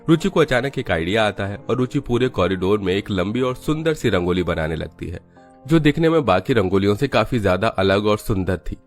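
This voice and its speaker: A man's voice: lowish voice, lively intonation, brisk, faint music behind. A man reading scripted narration for a children's moral story.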